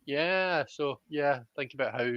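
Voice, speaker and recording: A man's voice: fairly high pitch, measured pace, no room sound, lo-fi sound. A man hosting a live tarot reading in a chatty, jokey way, reading out viewer comments as he goes. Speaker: host of a livestream tarot reading. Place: indoors, online livestream.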